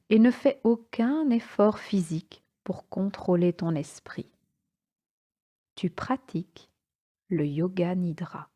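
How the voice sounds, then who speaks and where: Very slow, mellow woman guiding relaxation. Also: medium pitch. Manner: calm, soothing, unhurried pauses between short phrases. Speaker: yoga nidra instructor. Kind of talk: guided relaxation meditation.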